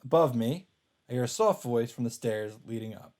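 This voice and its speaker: A man's voice: mid-range voice, expressive, moderate pace, darkish tone. A man hosting a let's play, reading visual novel narration aloud. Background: game music.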